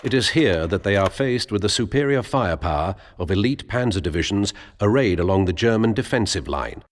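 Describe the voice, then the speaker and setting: A man narrating military history in a measured, grave, formal documentary style for a game. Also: lowish voice, lively intonation, slight background noise, natural voice. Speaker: narrator of war history briefings.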